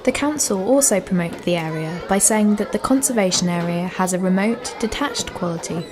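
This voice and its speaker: A woman's voice: on the low side, animated, moderate pace, over music. A woman giving scripted informational narration as a guide. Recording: noisy ambience with crowd sounds.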